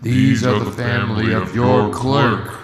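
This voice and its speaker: An actor playing a jolly, commanding giant spirit in a radio play, with a booming, declamatory manner. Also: male voice, steady tone, measured pace, natural voice. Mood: solemn. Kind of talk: theatrical radio play acting. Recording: echoey hall, loud crowd noise.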